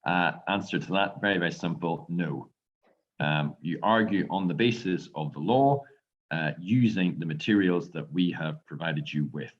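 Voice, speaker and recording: A man with an Irish accent, a law lecturer and barrister, calmly answering students' questions in an online lecture. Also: low voice, moderate pace. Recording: dull lo-fi audio.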